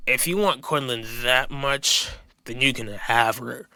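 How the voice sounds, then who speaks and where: A man playing a resentful adult son with a cutting, sarcastic manner in an animated soap opera. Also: medium pitch, measured pace, rough recording. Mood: bitter. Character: bitter, resentful adult son confronting his father.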